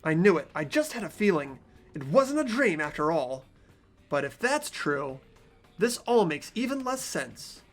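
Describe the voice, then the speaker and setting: An anxious high school boy as a performer acts him out narrates a game mystery in an earnest, hesitant voice of middling pitch. The delivery is dramatic and expressive, over music. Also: measured pace. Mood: alarmed realization. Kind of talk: scripted visual novel narration. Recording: background music behind voice.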